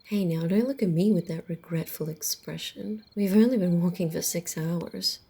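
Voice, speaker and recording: Woman acting a breathy, haughty female vampire. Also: on the low side. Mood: grudging annoyance. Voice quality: soft, breathy, often slipping into whisper. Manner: slow, drawling, condescending. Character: haughty, sardonic female vampire, grudgingly teasing her human companion. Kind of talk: ASMR roleplay acting, close and intimate.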